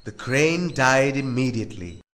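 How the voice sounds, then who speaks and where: A man's voice: medium pitch, expressive, measured pace, noisy background. A man narrating a scripted story for children.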